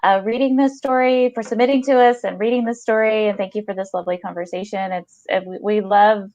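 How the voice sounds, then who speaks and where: A woman's voice: mid-range voice, fairly even intonation, fairly quick, a little mellow. A middle-aged woman co-hosting a podcast in an effusive, casual literary discussion over a headset. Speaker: podcast co-host. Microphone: headset on a video call. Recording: dull, lo-fi video call.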